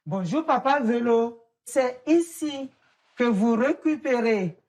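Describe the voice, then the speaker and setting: High, animated woman from Benin. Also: slow. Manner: enthusiastic, exclamatory.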